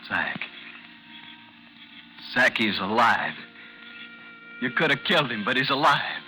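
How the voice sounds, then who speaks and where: An actor in a 1950s radio drama playing a duellist's earnest friend, hushed and awed. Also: male voice, mid-range voice, sing-song, slow pace, exaggerated voice. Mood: stunned relief. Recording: noisy 1950s radio recording.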